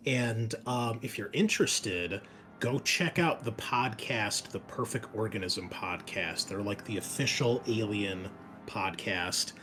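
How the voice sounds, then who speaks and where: A man's voice: fairly low pitch, slow, sharp tone, soft background music. A man hosting and running a tabletop game session, chatting casually and welcoming his players in a friendly way. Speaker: game master and show host.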